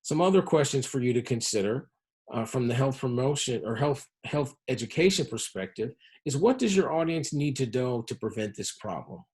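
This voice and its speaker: Slightly husky male presenter, measured delivery. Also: mid-range voice, animated, darkish tone. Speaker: public health presenter. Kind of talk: educational presentation on health-focused game design.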